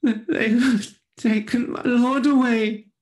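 A man's voice: very high-pitched, fairly even intonation, slow pace, a little mellow, voice pushed up. A man voicing a distraught woman pleading in a dramatic scripture reading. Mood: anguished. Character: distraught, weeping young woman pleading at a tomb.

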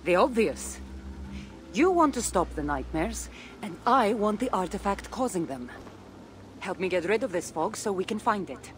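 An actress playing a confident, secretive ancient Greek warrior woman in a video game, with a Greek accent and a measured, teasing manner. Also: medium pitch, very animated, slight background noise, lightly acted. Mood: earnest. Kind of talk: video game cutscene acting. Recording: game audio with music behind. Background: game soundtrack music.

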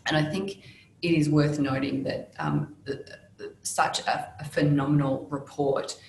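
A woman in her thirties with an Australian accent, giving measured, earnest prepared remarks over a video call from a small office. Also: sits low, steady tone, darkish tone, echoey room, a little hiss or hum, poor audio. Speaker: panelist from a legal service. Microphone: laptop video call.

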